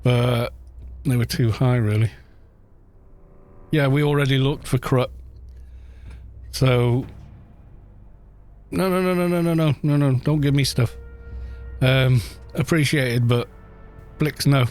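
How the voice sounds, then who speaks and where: A man's voice: medium pitch, slow pace, long pauses, a little mellow, faint music behind. A man chatting casually on a game livestream, rambling along with self-deprecating good humour.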